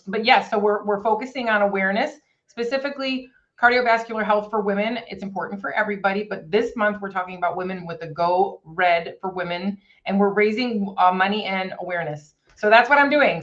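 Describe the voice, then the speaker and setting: A woman's voice: medium pitch, a bit bright, lo-fi sound. A woman chatting earnestly about a fundraiser she leads, often restarting her sentences. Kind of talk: casual chat, promoting a fundraiser.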